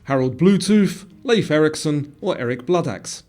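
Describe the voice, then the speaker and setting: A man's voice: on the high side, expressive, moderate pace, darkish tone, faint music behind. A man presenting a quiz in scripted narration, reading out questions and answers.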